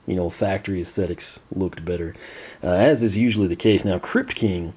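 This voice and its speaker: Man, hoarse and losing his voice, low mellow tone, chatty unboxing host. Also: moderate pace. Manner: chatty, frequent "uh" fillers, clears throat. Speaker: toy collector unboxing host. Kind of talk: casual unboxing commentary.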